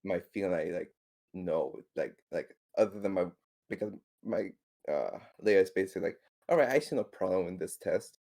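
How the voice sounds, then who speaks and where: Male RPG player: low, soft-toned, informal, halting delivery with repeated words. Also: expressive, vocal fry. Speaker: tabletop game player. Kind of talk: casual in-game chat.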